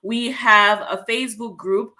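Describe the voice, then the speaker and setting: A woman's voice: on the high side, steady tone, measured pace, sharp tone. A woman from Cameroon hosting an online talk show, welcoming viewers and guests with enthusiastic, upbeat energy. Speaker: host.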